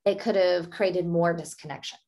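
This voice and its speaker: Upbeat woman author giving brisk advice. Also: lowish voice, fairly even intonation, a little mellow. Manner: upbeat and brisk, laughs often while explaining. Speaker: author and interview guest. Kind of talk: conversational expert advice.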